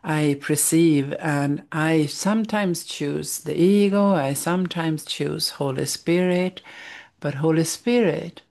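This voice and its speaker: Swedish accent lady, low gravelly voice, reads a spiritual text then muses on it, slow and searching. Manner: thoughtful, searching for words, soft restarts. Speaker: spiritual study teacher. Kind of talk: reading aloud and reflecting on a spiritual text.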